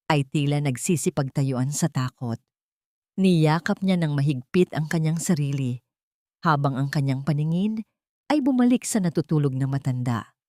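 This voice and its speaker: Filipino woman narrating drama, steady pitch. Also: dry recording, studio quality. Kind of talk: scripted dramatic story narration.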